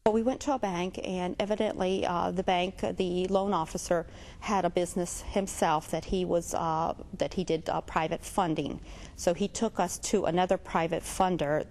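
A woman's voice: fairly low pitch, steady tone, normal speed, darkish tone. Matter-of-fact middle-aged woman. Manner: matter-of-fact, some hesitations. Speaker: farm owner's daughter. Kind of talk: news interview. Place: greenhouse.

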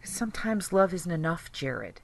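An actress playing a hurt, frustrated, uncompromising wife in an audio drama, speaking with reproachful emphasis. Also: female voice, lowish voice, sing-song, a little slow, gravelly, heavily acted. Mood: hurt, weary.

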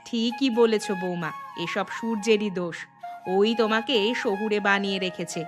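A woman's voice: medium pitch, steady tone, moderate pace, over music, lightly acted. Woman voicing a bossy village mother-in-law. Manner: coaxing, commanding. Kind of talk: cartoon voice acting.

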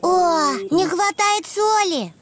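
Женщина озвучивает весёлого маленького ослика-мальчика в детском мультфильме, говорит просто и по-детски, будто сама с собой. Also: female voice, very high voice, takes it slowly, over a noisy crowd. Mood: playful, busy. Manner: simple, childlike, self-narrating delivery. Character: cheerful little boy donkey, playful and imaginative. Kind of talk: children's cartoon dubbing. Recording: music behind the voice.

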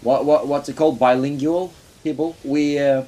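A man's voice: a little high, fairly even intonation, normal speed, mellow, a little hiss or hum. A young man from Norway with a Norwegian accent, chatting and joking as he plays a game with a friend. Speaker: let's play co-host. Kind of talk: casual gaming chat.

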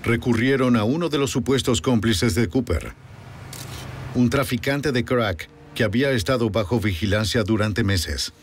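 A man giving measured scripted narration for a crime documentary, recorded as a voice-over. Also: mid-range voice, slight background noise.

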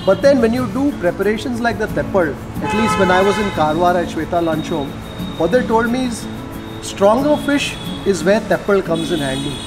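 A middle-aged man with an Indian English accent talks through each dish he tastes, his voice sitting high for a man. He savors and explains flavors in an easy, descriptive way. Music plays clearly behind him. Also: lively intonation, normal speed, rough recording. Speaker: food vlogger host. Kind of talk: casual food-tasting commentary. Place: restaurant dining table, indoors.

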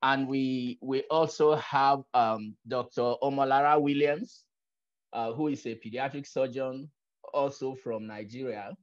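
A man's voice: mid-range voice, steady tone, unhurried. A man chairing a panel discussion over a video call, speaking hesitantly with many fillers. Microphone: laptop camera on a video call. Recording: dull video call audio.

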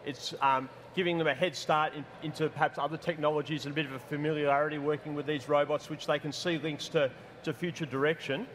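A man's voice: a little high, fairly even intonation, quick pace, a bit bright, a little hiss or hum. A man moderating a conference panel on stage, posing long scenario questions to the panelists.

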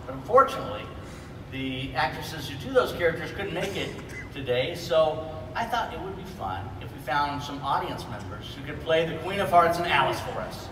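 Middle-aged male panel host, casual, higher-pitched, heard from far off over a crowd. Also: lively intonation, moderate pace. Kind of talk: casual stage hosting. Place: large convention hall stage. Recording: echoey hall PA from far off, crowd noise.

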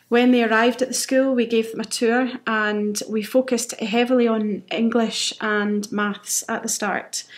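A young woman who works in a school, giving a fluent, articulate interview account. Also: medium pitch. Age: 20s-30s. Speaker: school pastoral care teacher.

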